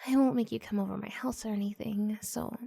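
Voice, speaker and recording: A woman voicing a shy, flustered, adoring female student in an ASMR roleplay, hesitant and gushing in breathy half-whispers. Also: mid-range voice, steady tone, brisk, mellow. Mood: shy, hopeful.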